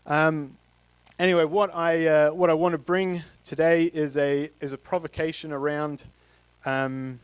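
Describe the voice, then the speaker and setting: Halting Australian man preaching. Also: on the high side, clear, resonant tone, no echo, rough recording. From Queensland, Australia. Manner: halting, many fillers and restarts. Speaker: guest speaker from a charity. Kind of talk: church talk to a congregation. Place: church stage with a live congregation. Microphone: handheld mic.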